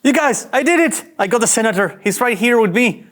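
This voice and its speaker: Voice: mid-range voice, expressive, fairly quick, fairly bright tone, close to an everyday voice. An actor playing a flustered, earnest young male starship officer in a sci-fi cartoon table read, nervous and indignant. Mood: urgent.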